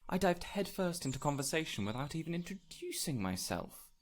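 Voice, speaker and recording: A man's voice: on the high side, brisk. Man acting a whimsical, lilting fey stranger. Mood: apologetic, eager. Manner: flowery, excitable, theatrical phrasing. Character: peculiar, eager fey man, whimsical and courteous. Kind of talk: immersive audio roleplay addressed to the listener.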